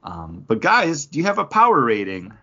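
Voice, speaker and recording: A man co-hosting a comic book podcast, giving measured opinions with asides and steering the casual discussion. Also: medium pitch, wildly swooping pitch, sharp tone, highs cut off.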